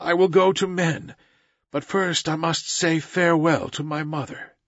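A man voicing a defiant boy raised by wolves, with a husky voice and a dull recording. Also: a little high, fairly even intonation, a little slow, no echo, pitched above the performer's own voice. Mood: sad. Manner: shouting commands, then sobbing pleas.

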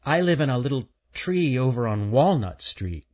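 A man's voice: mid-range voice, very animated, moderate pace, a little mellow, voice pushed up. A man doing a shy stuttering little critter. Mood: stammering, timid. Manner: stuttering, stammers on first sounds. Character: shy young male woodland critter who stutters.

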